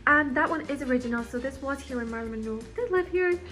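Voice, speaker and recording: A woman's voice: high voice, lively intonation, quick pace, bright, forceful tone, faint music behind. A young woman in her twenties giving a casual, giggly house tour to her viewers, chatting into a phone held at arm's length in a bedroom. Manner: giggly, bubbly, lots of "like" and "you guys".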